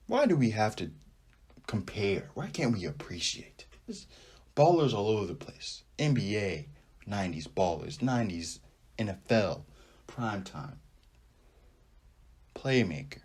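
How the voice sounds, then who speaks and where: Young man, low voice, casual sports podcast host, rambling, animated asides. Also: slow pace, pauses often, slightly rough. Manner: animated, rambling, thinks aloud with frequent asides. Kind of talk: casual sports talk monologue.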